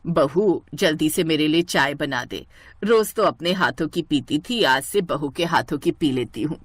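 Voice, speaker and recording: A woman voicing a bossy middle-aged mother-in-law in a cartoon story, scolding with a sharp tongue. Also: sits low, lively intonation, unhurried, no echo, voice pushed down.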